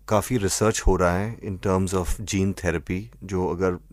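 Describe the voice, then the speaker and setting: A man with an Indian accent answering as an expert doctor in an interview, measured and methodical, mixing in English phrases. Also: deep voice, a little mellow, no room sound, clean studio sound. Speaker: eye doctor, expert guest.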